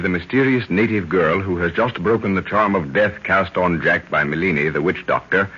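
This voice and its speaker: An actor playing a grave, ominous narrator, delivering florid scripted narration for an old radio adventure serial. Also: male voice, low voice, fairly bright tone, slightly rough, heavily acted. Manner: portentous, florid. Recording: muffled, faintly noisy 1930s radio recording.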